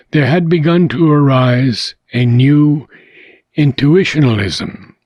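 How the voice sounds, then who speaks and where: A man reading a philosophical book aloud in a measured, deliberate way, pausing between short phrases, with a husky voice. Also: mid-range voice, a little mellow, dry recording, dull audio. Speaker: audiobook reader.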